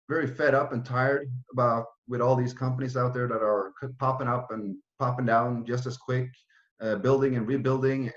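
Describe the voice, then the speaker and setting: Man in his thirties, roomy lo-fi sound. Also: mid-range voice. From Norway. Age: 30s. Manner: fast, run-on, with frequent restarts and fillers. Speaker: project founder presenting. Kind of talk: online presentation with Q and A.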